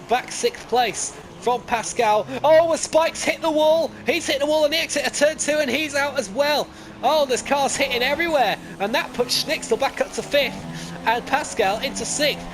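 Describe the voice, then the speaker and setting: A high-pitched man calls a simulated motor race live, excitable and quick to jump up when cars collide. He drifts into rambling asides and corrects himself as he goes. Clear music plays underneath his commentary. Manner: excitable, voice jumps up at crashes and overtakes. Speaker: sim racing league commentator.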